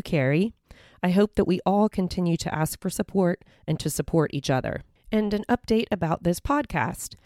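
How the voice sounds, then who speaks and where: A woman in her forties, slightly hoarse, hosting a self-help podcast in a bubbly, encouraging way. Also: on the low side, darkish tone, no echo, studio quality. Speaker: podcast host.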